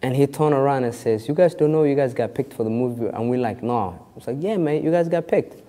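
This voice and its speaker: A man's voice: mid-range voice, fairly quick, warm tone, in a large hall. A young man born in Kenya of a Somali family, telling his story in an interview with lots of restarts and "you know". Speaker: actor interviewed.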